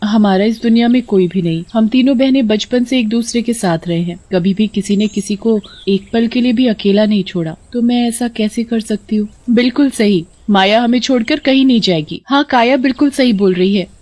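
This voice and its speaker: Sweet, high young forest maiden , voiced by a woman. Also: moderate pace, few pauses, a little mellow, close to an everyday voice. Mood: earnest. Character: sweet young forest sisters, gentle and agreeable.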